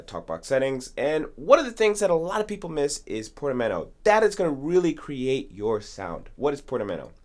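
A man in his thirties hosting an informal instructional tutorial, talking straight to viewers from a small studio. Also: mid-range voice. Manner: informal, addresses viewers directly. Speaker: music tutorial host, musician. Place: small home studio with acoustic foam. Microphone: studio condenser mic with pop filter.

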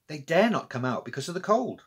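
A man's voice: fairly high pitch, big pitch swings, a little fast, husky, voice pushed up. A man voicing a polite, earnest French zoo worker in a children's story. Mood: worried.

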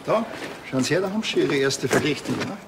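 An actor playing a dry, sardonic middle-aged policeman in a TV crime comedy, with a laconic delivery and gravelly voice. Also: on the high side, brisk, heavy background noise. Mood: mocking. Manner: deadpan, laconic.

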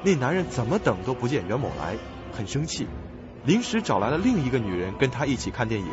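Casting: male actor as uneasy young amateur investigator, radio play, highly expressive pitch, music underneath. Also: fast, muffled recording, lightly acted. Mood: urgent, storytelling. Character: earnest, uneasy young man investigating a mystery. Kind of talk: Chinese suspense radio drama acting.

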